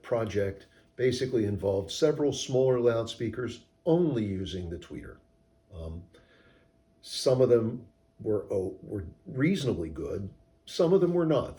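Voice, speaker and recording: A man giving measured, technical, explanatory answers in an interview as a company co-founder. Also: on the low side, warm tone. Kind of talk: interview answers about product design.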